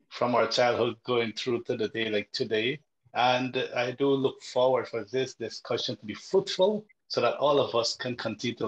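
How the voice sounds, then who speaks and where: A man with a Guyanese accent, a priest joining an online panel, speaking formally and deliberately. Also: mid-range voice, fairly bright tone, slight background noise, rough recording. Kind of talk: formal self-introduction on a panel. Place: remote online call.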